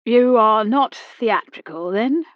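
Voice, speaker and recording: A woman voicing an old British army captain, brusque, with a clipped, slow and emphatic manner. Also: a little high, animated, dry recording, muffled recording. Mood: confiding.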